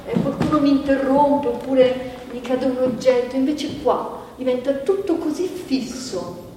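An actress playing a restless, weary woman who confides in her audience in a halting, conversational theatrical monologue, repeating herself as she thinks aloud. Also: high-pitched, dark tone. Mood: uneasy, emphatic. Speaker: stage actor. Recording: echoey hall from far off, faint noise.

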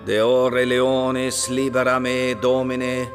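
A man's voice: medium pitch, monotone, slow pace, lightly acted. An actor playing a solemn male liturgical chanter reciting Latin in a radio drama, in a chant-like manner. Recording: long church-like reverb. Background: music.

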